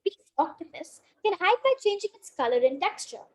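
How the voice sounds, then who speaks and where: A woman's voice: very high voice, big pitch swings, fairly quick. A female reader reading a children's picture book aloud in a careful way, now and then tripping on a word. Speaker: story reader.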